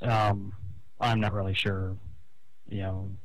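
A man with a fairly low voice speaks on a phone call. He lays out his grievances haltingly, explaining as he goes. The sound is dry, with no room echo. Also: flat delivery. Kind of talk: phone conversation, airing grievances. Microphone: telephone call.

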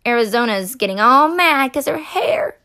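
A woman's voice: high-pitched, animated, moderate pace, bright, forceful tone. A woman reading a children's picture book aloud expressively, adding explanatory asides. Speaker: storybook reader.

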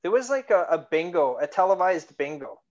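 A man in his thirties from Canada co-hosts a podcast over a video call, talking with eager excitement and casual swearing. His voice sits a bit high. The call audio sounds dull and lo-fi. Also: a little fast. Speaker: podcast co-host. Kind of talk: casual chat. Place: home room with bookshelves, video call. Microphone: headphones, video call.

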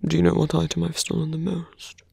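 A man voicing a suave, condescending fantasy villain and thief in a breathy, gravelly half-whisper for an ASMR roleplay. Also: fairly high pitch, completely flat delivery, darkish tone, dry recording, exaggerated voice. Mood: quiet, measured. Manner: slow, deliberate, teasing. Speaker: voice actor in roleplay audio. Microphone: close, dry microphone.

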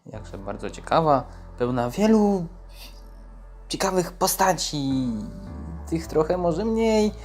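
A man's voice: fairly high pitch, very animated, slow pace, a little mellow. Young man, casual and rambling, over music. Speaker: gamer and amateur voice-over creator. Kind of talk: casual video introduction.

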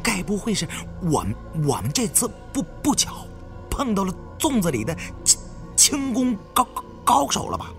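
A man voicing a jumpy, gruff tomb raider with a heavy stutter in an audiobook. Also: high voice, wildly swooping pitch, normal speed, a bit bright, faint music behind, voice pushed up, heavily acted. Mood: anxious.

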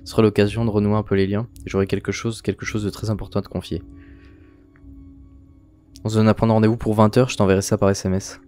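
An earnest teenage schoolboy, voiced by a man in a visual novel. He talks quickly and confidingly, with music underneath. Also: fairly low pitch, little pitch movement, mellow, natural voice. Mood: friendly, considerate.

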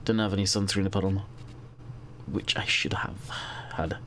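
A man's voice: low-pitched, steady tone, normal speed, sharp tone, slight background noise. Congested young man chatting over gameplay. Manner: chatty and rambling, thinking aloud while playing. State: congested from a cold. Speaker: gaming channel host. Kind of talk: casual let's-play commentary.

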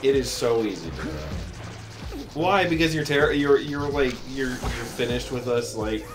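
Guy joking around over a game with friends, lively voice, game music behind. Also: mid-range voice, normal speed. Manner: joking, swearing, laughs often. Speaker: let's play co-host. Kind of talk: casual gaming banter.

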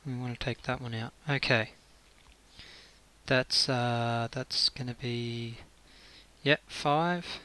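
A man's voice: mid-range voice, fairly even intonation, slow, sharp tone. A man giving casual gameplay commentary as he builds, muttering to himself and thinking aloud. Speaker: gaming video creator. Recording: faint hiss and clicking.

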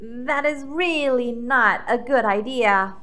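A woman voicing a small, alarmed baby chick in a children's storybook, loud, insistent and emphatic. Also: on the high side, takes it slowly. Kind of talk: children's storybook read-aloud.

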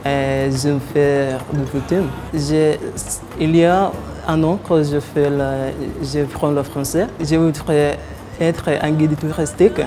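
Young man, slow and hesitant. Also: a little high, steady tone, noisy background. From Tanzania. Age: 20s. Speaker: student. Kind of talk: interview answers. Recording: music playing behind.

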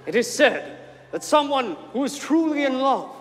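An actor playing a solemn magical talking hat, with a theatrical, portentous manner in a comic musical stage reading. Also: male voice, extremely high pitch, very animated, normal speed. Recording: reverberant theatre hall, loud music behind.

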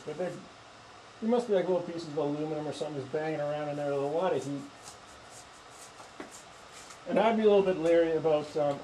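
A man's voice: a little high, dark tone, rough recording. A man demonstrating spray finishing in his home garage, thinking aloud casually and restarting his sentences. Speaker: home woodworker. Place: home garage paint booth. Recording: hissy spray and compressor noise.

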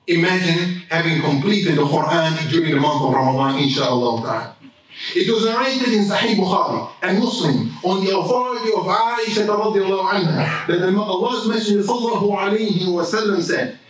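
A man in his thirties delivers a Friday sermon from a mosque lectern, his fairly high voice swelling on key words and drifting into Arabic phrases. The room rings with heavy echo. He stands back from the stand mic, so his voice reaches the camera from a distance. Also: a bit bright. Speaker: imam. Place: mosque prayer hall, indoors. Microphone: stand mic at a lectern, filmed from far camera. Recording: very echoey hall, voice from a distance.

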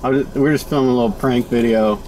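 A man in his twenties chatting casually as a prankster filming a drive-thru video, his voice at times squeaky from helium. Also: steady tone, fast talker, mellow, poor audio. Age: 20s. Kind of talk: casual prank chat with fast-food staff. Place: inside a car at drive-thru windows. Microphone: in-car camera a short distance away. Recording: clear vehicle noise in a car.

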